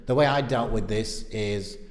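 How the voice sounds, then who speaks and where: A man's voice: on the low side, moderate pace. A young man in casual podcast conversation, telling anecdotes and acting out what people said. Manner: animated, acts out reported dialogue. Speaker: podcast speaker. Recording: long reverb of a hollow room.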